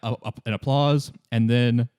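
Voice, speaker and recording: A man running a tabletop game as game master, improvising his narration in a casual, halting, good-humored way. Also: medium pitch, fairly even intonation, darkish tone, smooth, dry recording, studio quality. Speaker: game master, podcast host.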